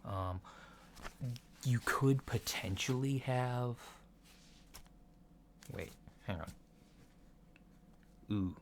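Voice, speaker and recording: Man, unhurried low voice, soft-spoken ASMR, calm awkward alien doctor roleplay, clinical, lo-fi noisy home recording. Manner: soft-spoken, hesitant, clinical and matter-of-fact. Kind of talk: ASMR medical checkup roleplay. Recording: lo-fi home recording with background noise.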